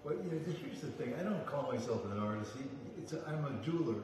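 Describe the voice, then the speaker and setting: An elderly man in his eighties chats in a loose, rambling way, tacking "okay?" onto his sentences. He has a medium pitch, and his voice carries a long reverb tail in the room. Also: expressive, fairly quick, noisy background. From Canada. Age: 80s. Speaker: guest of honor on a family livestream. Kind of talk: casual chat.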